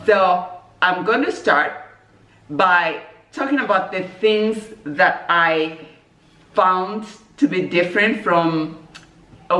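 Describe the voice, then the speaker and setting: A woman from Zambia, chatting casually on her vlog in a relaxed, measured way and laughing easily. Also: lowish voice. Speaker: vlogger. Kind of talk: casual chat. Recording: echoey room, mic at a distance.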